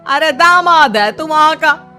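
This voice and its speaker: A woman's voice: sits high, animated, unhurried, bright, forceful tone, soft background music, voice pushed up, exaggerated voice. A woman voicing an outspoken, doting older Indian mother-in-law in a cartoon story, exclaiming and scolding. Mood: indignant.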